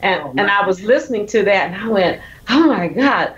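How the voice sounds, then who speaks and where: A woman's voice: medium pitch, expressive, moderate pace, crowd noise. A woman from South Louisiana, talking animatedly about music, laughing often and restarting her sentences. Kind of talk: casual interview chat. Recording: muffled lo-fi line.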